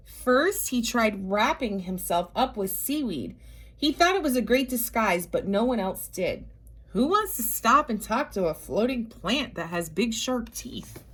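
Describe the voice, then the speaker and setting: Female storybook reader for young children: slightly high voice, very leisurely delivery, stretches key words, talks to the listeners. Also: slightly rough. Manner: expressive, drawn-out emphasis with chatty asides to young listeners.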